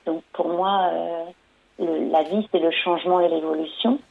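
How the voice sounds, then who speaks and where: Hesitant woman on a gravelly phone line. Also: lowish voice, fairly even intonation, moderate pace. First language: French. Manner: hesitant, many fillers and restarts. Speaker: storyteller and performer. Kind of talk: radio interview.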